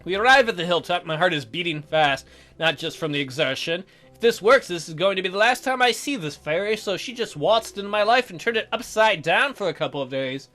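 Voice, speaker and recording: A woman's voice: fairly low pitch, expressive, a little fast, a bit bright. A woman hosting a let's play, reading a game's narration aloud with casual commentary. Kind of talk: animated game read-aloud with casual commentary. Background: music.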